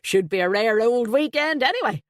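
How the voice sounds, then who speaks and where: A woman's voice: a little high, expressive, moderate pace, dry recording, studio quality, voice pushed up, natural voice. A woman voicing a big, jovial, chatty Irish man with a hearty, slangy, emphatic manner in an audiobook story.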